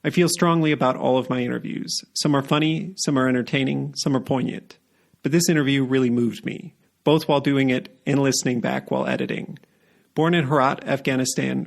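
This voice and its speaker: A man's voice: medium pitch, creaky. A man hosting a podcast, reading a scripted introduction and then asking questions in an earnest, carefully paced way. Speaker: podcast host.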